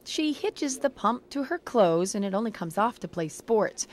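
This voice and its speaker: A woman's voice: on the high side, lively intonation, normal speed. An American woman reporter reading a scripted television news feature.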